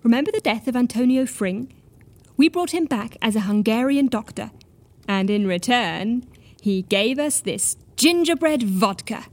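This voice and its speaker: An actress playing an eager, mischievous office colleague in a rhyming audio drama. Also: female voice, on the high side, animated, measured pace, darkish tone.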